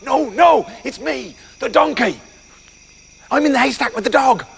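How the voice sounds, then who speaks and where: A man's voice: extremely high pitch, lively intonation, unhurried, vocal fry, fairly bright tone, slightly rough, long reverb tail, voice pushed up, heavily acted. Man voicing an urgent, conspiratorial old donkey. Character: friendly, conspiratorial old donkey, bossy leader.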